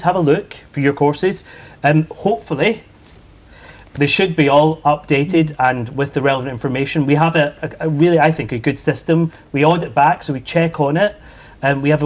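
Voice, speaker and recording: A man from university staff answering student questions on a panel, chatty and hesitant, with many fillers and repeated words. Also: fairly high pitch.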